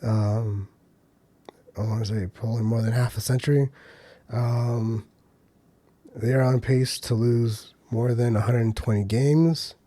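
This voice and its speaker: Mellow-voiced man hosting a podcast. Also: medium pitch, fairly even intonation, a little slow, pauses often, no room sound. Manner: loose and conversational, many ums and uhs, hedging asides. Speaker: podcast host. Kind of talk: solo casual commentary.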